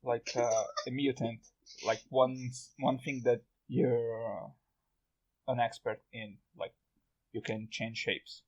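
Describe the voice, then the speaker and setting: Slow-paced man hosting a casual podcast. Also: mid-range voice, little pitch movement, a little mellow, lo-fi sound. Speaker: podcast host, interviewer. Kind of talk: casual chat, putting questions to guests.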